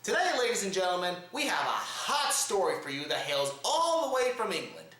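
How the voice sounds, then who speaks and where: A man's voice: high-pitched, wildly swooping pitch, a little fast, bright, forceful tone. A man hosting a comedic news show with a theatrical, exaggerated showman delivery. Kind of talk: comedic news commentary to camera. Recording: roomy, echo-heavy home recording.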